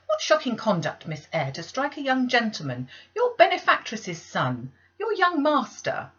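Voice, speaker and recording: A woman's voice: on the high side, huge pitch swings, moderate pace, fairly bright tone, breathy edge, lo-fi sound, pitched above the performer's own voice, exaggerated voice. A woman voicing a stern, pious lady's maid in a classic novel, sharply reproachful and moralising. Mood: scandalised, scornful.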